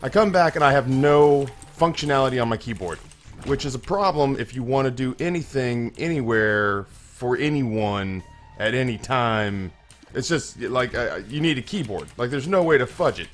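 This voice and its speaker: A young man with a slightly hoarse, middle-pitched voice talks over his gameplay in a loose, rambling way. He stumbles and restarts his sentences as he tells a self-mocking story. Faint music plays quietly behind him. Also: moderate pace. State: a little hoarse. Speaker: gaming channel host. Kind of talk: casual let's-play commentary.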